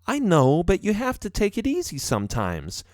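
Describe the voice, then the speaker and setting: A caring but chiding storybook mother, played by a male narrator. The voice is lifted high and lilting, coaxing and motherly. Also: huge pitch swings, normal speed, no room sound, studio quality. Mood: gently chiding. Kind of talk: storybook character voice.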